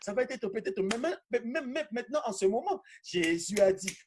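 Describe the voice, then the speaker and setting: A man preaching an animated, charismatic teaching sermon, emphatic and repeating phrases for effect. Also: very high-pitched, moderate pace, background noise.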